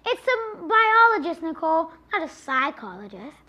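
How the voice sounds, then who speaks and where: A teenage student anchor delivering scripted news banter in a very high, bouncy voice. Her tone is bright and sharp, and she sounds exasperated and a little bossy. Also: very animated, moderate pace.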